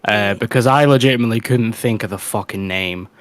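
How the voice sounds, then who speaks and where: A man's voice: on the low side, a little fast, no echo. A man chatting casually and profanely as a voice actor on a behind-the-scenes livestream. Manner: informal, profane, rambling.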